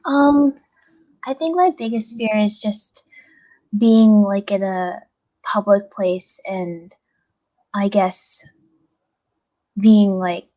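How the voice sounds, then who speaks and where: A woman's voice: medium pitch, very slow, long pauses, a little mellow, rough recording. A seventeen-year-old girl from the United States chatting casually as a podcast guest, hesitant and searching for words. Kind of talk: casual personal chat.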